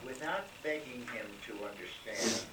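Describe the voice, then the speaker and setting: A man's voice: mid-range voice, lively intonation, moderate pace, echoey room, recorded from a distance, background noise. A man directing voice actors in a recording session, coaching them with dry, wry remarks.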